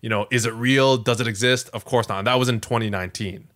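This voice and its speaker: A man's voice: medium pitch, fairly even intonation, fast talker, fairly bright tone. A man in a casual podcast chat as co-host, thinking aloud a little hesitantly.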